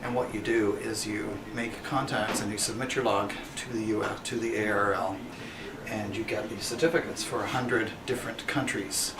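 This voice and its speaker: A man gives an informal explanatory walkthrough of a radio station, speaking in a halting, matter-of-fact way. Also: on the low side, fairly even intonation. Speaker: radio club member giving a station tour. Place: indoor amateur radio station room. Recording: roomy, noisy recording, distant microphone.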